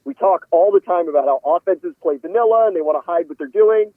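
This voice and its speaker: Man, somewhat high pitch, football analyst guest, opinionated, measured, conversational, narrow phone-line sound. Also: no room sound. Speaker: sports analyst interviewed as a guest. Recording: phone-like radio call audio.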